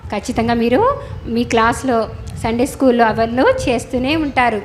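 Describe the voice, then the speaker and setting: A woman with a high voice hosts a children's puppet lesson as a Sunday school teacher. She instructs and keeps asking questions, with noticeable ambient noise behind her. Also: lively intonation, normal speed. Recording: clear background noise, ambient sound.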